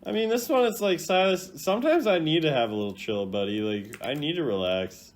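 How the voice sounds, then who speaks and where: A young man giving casual, playful reaction commentary as a gaming video host, jumping between loud exclamations and low mutters. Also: animated, brisk. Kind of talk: casual reaction commentary ranking game voice lines.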